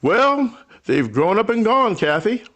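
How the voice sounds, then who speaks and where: An actor playing a kindly older rural storekeeper in a radio play, folksy, halting, hospitable and a little wistful. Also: male voice, high voice, very animated, a bit bright, heavily acted. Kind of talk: old-time radio drama acting.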